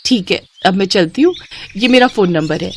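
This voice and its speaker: Woman acting as young housewife, friendly, appreciative, familiar, storybook dialogue, medium pitch, faint hiss of noise. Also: lively intonation, moderate pace, close to an everyday voice. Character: friendly, grateful young pregnant married woman customer. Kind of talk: Hindi moral story character dialogue.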